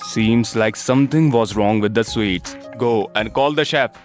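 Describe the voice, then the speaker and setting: A man voicing a commanding king in a children's animated fable. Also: lowish voice, unhurried, faint music behind, pitched below the performer's own voice, natural voice. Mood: angry, alarmed. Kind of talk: animated children's story acting.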